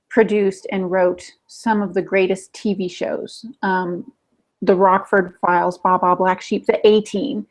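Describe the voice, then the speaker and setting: A woman's voice: mid-range voice, a little mellow. A woman co-hosting a podcast over an online call, telling stories in a casual, conversational way. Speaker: podcast co-host. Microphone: online video call. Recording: dull, lo-fi internet call audio.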